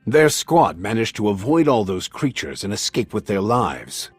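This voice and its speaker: A man playing a stern, domineering older military commander in a dramatic video game, gruff, scornful and commanding. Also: fairly low pitch, very animated, normal speed, no echo, soft background music. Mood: guarded, probing.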